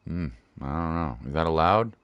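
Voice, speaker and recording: Low-voiced, easygoing male game streamer. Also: moderate pace, fairly bright tone, clear, resonant tone, dry recording, muffled recording. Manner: easygoing, chatty, repeats himself. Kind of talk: casual live stream commentary.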